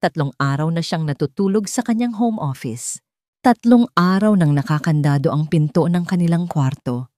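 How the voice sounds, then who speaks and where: A woman's voice: sits low, dark tone, dry recording, clean studio sound. A woman with a Filipino accent narrating a dramatic scripted audio story.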